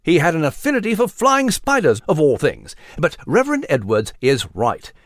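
A man's voice: on the high side, wildly swooping pitch, moderate pace, no room sound, studio quality. A man voicing a scholarly, genteel mouse, delivering mock news commentary with some pomp. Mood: genteel, curious. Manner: erudite, genteel, pompous. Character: proper, scholarly British male mouse newsreader.

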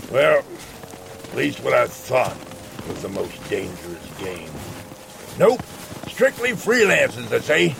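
Gravelly, gruff old hunter. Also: male voice, mid-range voice. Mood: boastful, sly. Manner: gruff, folksy, self-assured. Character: gruff, boastful older hunter and former whaling harpooner, male. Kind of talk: western audio drama acting.

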